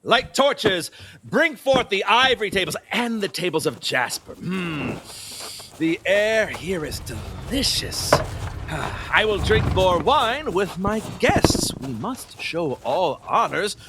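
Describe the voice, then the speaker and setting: A man's voice: high-pitched, sing-song, slow pace, background noise, exaggerated voice. An actor playing a decadent, superstitious, capricious king in a theatrical audio drama, volatile in manner. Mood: imperious, indulgent. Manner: volatile, swooping between musing and alarmed exclamation.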